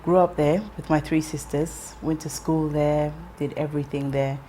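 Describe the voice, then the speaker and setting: A woman in her thirties, raised in England, telling her life story in an interview in a measured, reflective way with frequent pauses and restarts. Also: low voice, fairly even intonation, warm tone, slight background noise. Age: 30s.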